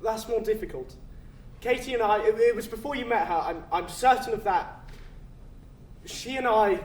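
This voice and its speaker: A man's voice: high voice, moderate pace, close to an everyday voice. A man acting a guilt-ridden, lovelorn afterlife guide in a stage play, formal and hesitant. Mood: apologetic, guarded. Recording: echoey stage, distant mic, faint noise.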